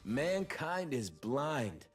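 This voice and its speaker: A man's voice: medium pitch, big pitch swings, extremely slow. A man voicing a cocky, carefree bird-man performer in a video game, with a showy, swaggering, exclamatory delivery. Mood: earnest. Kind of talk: video game character voice lines.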